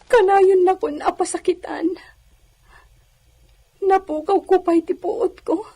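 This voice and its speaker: A high, soft-hearted young lady of a villa, played by a woman, speaks in a plaintive, sighing way. She is a gentle, mistreated figure from a radio drama. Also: steady tone, slow. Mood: hurt, tearful.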